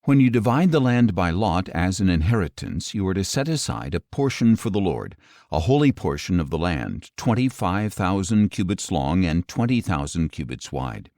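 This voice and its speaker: A man narrating an audio Bible, reading scripture in a measured, solemn, clearly phrased way. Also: deep voice, big pitch swings, dark tone, dry recording, studio quality.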